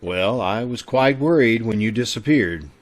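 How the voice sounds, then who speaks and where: A man's voice: medium pitch, animated, a little slow, natural voice. A man playing a reserved, uneasy doctor in a 1940s-style radio drama. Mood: uneasy, surprised. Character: reserved, uneasy male doctor narrating his tale.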